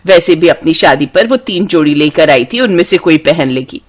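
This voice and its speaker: A woman's voice: sits low, lively intonation, normal speed, voice pushed down. A woman voicing a strict middle-aged mother-in-law in a cartoon story, giving firm orders. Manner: commanding, firm.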